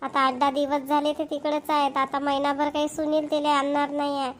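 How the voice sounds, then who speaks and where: A woman's voice: sits high, completely flat delivery, fairly quick, slight background noise, close to an everyday voice. A woman with a Vidarbha Marathi accent voicing a grumbling, self-pitying older mother-in-law in an amateur cartoon. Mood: complaining. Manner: nagging, complaining.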